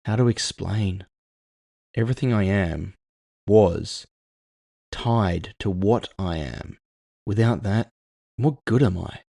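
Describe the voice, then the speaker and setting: A man's voice: lowish voice, takes it slowly, vocal fry, pauses often, dark tone, no echo, clean studio sound. A man playing a brooding, world-weary cyborg gunman, introspective and full of self-doubt, in an audio story. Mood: regretful.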